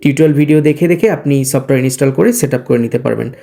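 Casting: male tech-channel host, easygoing sales-demo delivery, mid-pitched voice, English words mixed in. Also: racing, creaky, warm tone. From Bangladesh. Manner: chatty, drops in English words and filler "ah"s.

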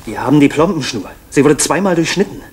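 Actor as quick-talking, probing police interrogator. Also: male voice, fairly high pitch, expressive, close to an everyday voice. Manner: formal, pressing. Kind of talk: East German radio drama acting. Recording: old radio recording, faint noise.

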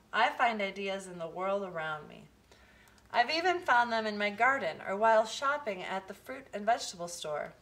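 A woman reading a children's picture book aloud, with expressive, deliberate phrasing. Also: on the high side. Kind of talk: storybook read-aloud for children.

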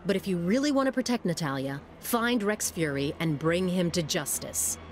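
A woman's voice: on the low side, animated, moderate pace, close to an everyday voice. A woman as an earnest, authoritative city mayor. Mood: urgent. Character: composed, urgent female city mayor in video game. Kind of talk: scripted video game cutscene acting. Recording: faint music behind the voice.

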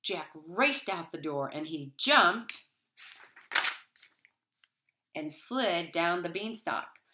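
A woman's voice: fairly low pitch, expressive, very slow, bright, forceful tone, slight background noise. A middle-aged woman reading a picture book aloud to young children, expressively and with dramatic emphasis. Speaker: storybook reader for children. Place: small wood-panelled room at home, indoors.

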